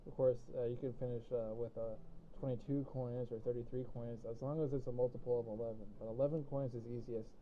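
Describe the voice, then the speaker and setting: A young man with a cold-roughened, hoarse voice, casually commenting while playing a video game, explaining things with many fillers. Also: medium pitch, fairly even intonation, brisk. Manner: rambling, explanatory, self-deprecating, many fillers. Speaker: gameplay commentator. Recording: tinny phone-like audio with faint hiss.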